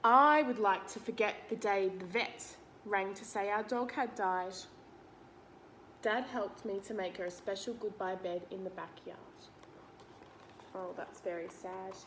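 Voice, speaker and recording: A woman's voice: mid-range voice, measured pace, a bit bright, slight background noise, poor audio. A woman reading a picture book aloud to children, explaining it simply and putting questions to the listener. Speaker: read-aloud teacher.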